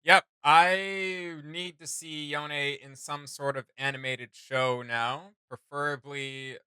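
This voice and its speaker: Bright-voiced man in effusive fan chat. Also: fairly high pitch, steady tone, slow, clear, resonant tone, no echo. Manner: rambling, slangy, effusive. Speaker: reaction video host. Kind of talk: casual enthusiastic fan chat.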